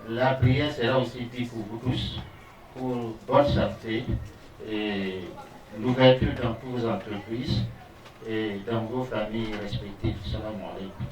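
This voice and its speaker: A man speaking for his family, thanking guests formally and slowly for coming. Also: fairly low pitch, fairly even intonation, a little mellow. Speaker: family representative welcoming guests. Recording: distant, echoey, faint room noise.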